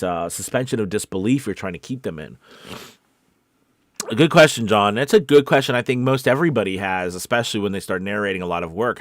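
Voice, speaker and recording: A man's voice: lowish voice, dry recording, studio quality. A man working as a voice-over coach, talking informally and encouragingly in a loose, rambling, conversational way. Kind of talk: informal live coaching session.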